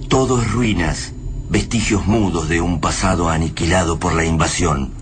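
Male actor as narrator, Argentine accent, very low pitch, solemn, dramatic pauses, radio drama, clear background noise. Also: lightly acted. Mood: bleak, solemn. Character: solemn male narrator of a post-invasion war tale.

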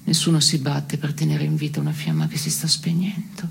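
An actress playing a scornful, morose woman in an absurdist stage play, gravelly and often hushed, speaking in a dry, deliberate way. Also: deep voice, sing-song, a little hiss or hum, full character voice. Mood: bleak, brooding. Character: scornful, morose woman brooding beside her male partner. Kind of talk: absurdist stage theatre dialogue and monologue.

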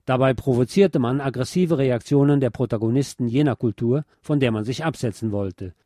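A man presenting a scripted cultural history piece in a measured, articulate, academic manner. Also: mid-range voice, mellow, no room sound, clean studio sound. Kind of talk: scripted essayistic narration on music history.

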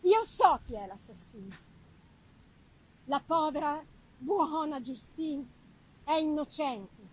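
Woman as Victor, anguished young man, slow and emphatic. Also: high-pitched, lively intonation, poor audio. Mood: urgent disbelief. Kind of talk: dramatic gothic novel reading. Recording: music behind the reading.

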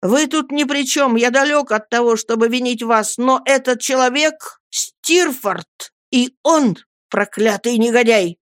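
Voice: mid-range voice, expressive, moderate pace, no echo, clean studio sound, voice pushed up, natural voice. A narrator voicing a distraught young fisherman in an audiobook, pleading in a strained, broken voice. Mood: anguished. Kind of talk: audiobook character acting.

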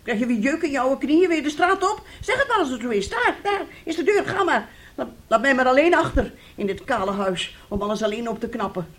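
An actress in a radio drama playing a bitter, middle-aged wife who nags with reproach and theatrical sarcasm. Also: female voice, high-pitched, animated, brisk. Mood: goading, bitter. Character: bitter, nagging, mocking wife of a failed composer.

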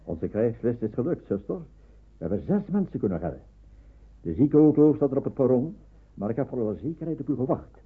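An actor playing a calm, resolute doctor who secretly rescues people in a wartime radio drama. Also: male voice, medium pitch, fairly quick, slightly rough. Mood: relieved. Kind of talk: Dutch radio drama acting. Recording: tinny, band-limited old radio recording, faint noise.

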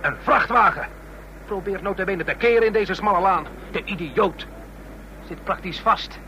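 An actor playing an irascible, excitable chauffeur in a radio drama, blunt, indignant and exclaiming. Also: male voice, high-pitched, moderate pace. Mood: irritated. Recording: noisy, humming old radio recording.

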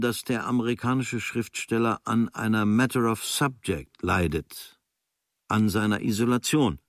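An actor reading as a young German writer on his travels, observant and dryly critical, in measured, sober, clipped phrases. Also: mid-range voice, no room sound, studio quality, natural voice. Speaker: reader of a writer's diary. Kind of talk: literary reading of a travel diary.

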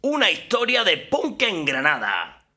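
A man's voice: high voice, huge pitch swings, sharp tone. A man narrating a mock radio documentary in a playful style, drawing out his words. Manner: drawn-out, playful.

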